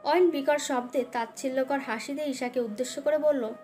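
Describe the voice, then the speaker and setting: A woman's voice: high voice, fairly even intonation, fairly quick, mellow, faint music behind. A woman narrating a scripted dramatic love story as its storyteller.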